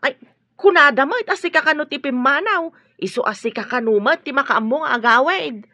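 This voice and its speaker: A woman's voice: high-pitched, lively intonation, a little fast, sharp tone, no echo, full character voice. A woman playing a nosy, chatty older household helper in an Ilocano radio drama. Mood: suspicious.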